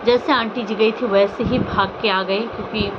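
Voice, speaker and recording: A woman vlogger gives unscripted, scolding commentary in a mid-pitched voice. She sounds indignant and often puts rhetorical questions to the listener. The sound is muffled and lo-fi, with very loud vehicle and ambient noise around her. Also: fairly even intonation, moderate pace. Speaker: social media vlogger commenting on online drama. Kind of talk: unscripted opinion commentary on a personal scandal.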